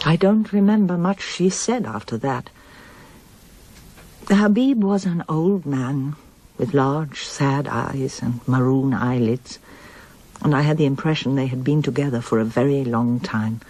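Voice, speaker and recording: Radio drama: withdrawn woman, husky, unhurried, reflective and softly spoken. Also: very deep voice, rough recording. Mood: numb, reflective. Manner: subdued, introspective. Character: withdrawn, troubled woman seeking the truth about her father.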